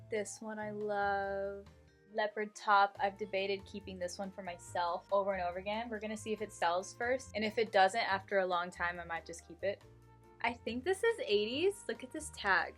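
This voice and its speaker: A woman's voice: medium pitch, fairly bright tone. A woman in her early twenties with an American accent, chatting informally and emphatically in a rambling vlog Q&A. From Houston, United States. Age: early 20s. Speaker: vintage reseller and vlogger.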